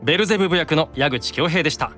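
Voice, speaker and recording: A man's voice: on the high side, racing, sharp tone, faint music behind. A man chatting casually as a radio guest, earnest and self-deprecating in manner. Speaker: podcast guest, voice actor.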